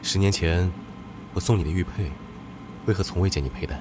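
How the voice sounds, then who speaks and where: Actor as a curt stern general brother, very deep voice, a little noise behind. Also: male voice, fairly quick, lightly acted. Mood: cold. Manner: curt, scolding. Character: stern young war-god general, her elder brother.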